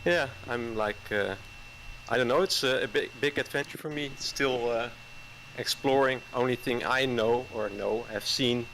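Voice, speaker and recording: A man around forty, a caller chatting hesitantly with many fillers and soft chuckles. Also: medium pitch, measured pace, slight background noise, low-quality recording. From Netherlands. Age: 40s. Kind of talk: casual chat.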